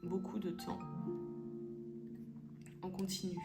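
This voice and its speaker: A woman's voice: fairly low pitch, flat delivery, slow. A young woman from France giving casual let's-play commentary, chatty and hesitant with many fillers and English phrases dropped in. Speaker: gaming YouTuber. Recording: echoey room with background music. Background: game music.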